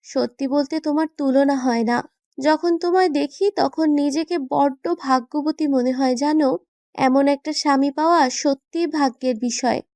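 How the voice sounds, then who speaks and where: A woman's voice: sits high, a little slow, a little mellow, dry recording, studio quality. A woman voicing an affectionate, playful young wife in a romantic audio drama, doting and teasing. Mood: loving, teasing.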